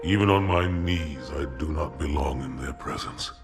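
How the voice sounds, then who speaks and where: A proud alien warrior in a science fiction game. The voice is deep and gravelly, with stern, formal phrasing. It echoes, and music plays clearly behind it. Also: male voice, moderate pace. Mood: bleak, resigned.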